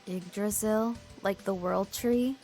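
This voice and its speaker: A woman's voice: medium pitch, fairly even intonation, slow, dark tone, soft background music. A woman voicing a persistent, businesslike hospital nurse in a visual novel game. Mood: insistent. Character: insistent female hospital nurse pressing for answers.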